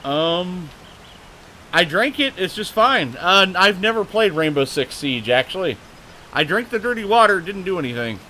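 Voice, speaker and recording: A man's voice: high-pitched, lively intonation, normal speed, sharp tone, slight background noise. An American man hosting a gaming livestream, chatty, laughing often and swearing when something surprises him. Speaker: livestream host. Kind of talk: casual gaming livestream chat.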